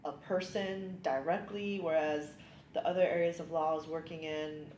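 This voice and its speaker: A woman's voice: low-pitched, steady tone, normal speed, darkish tone. A woman from California in her thirties, an immigration attorney chatting upbeat in an interview with many ums and likes. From California, United States. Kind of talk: casual interview conversation. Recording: echoey room, faint background hiss.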